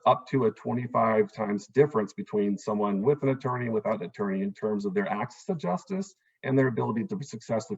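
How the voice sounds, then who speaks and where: A man with a somewhat low, husky voice walks listeners through the facts in an earnest town hall talk, pausing often on "um" and "uh." His audio comes through muffled and lo-fi, as on a video call. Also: darkish tone. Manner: earnest, explanatory, with fillers. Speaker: immigration attorney.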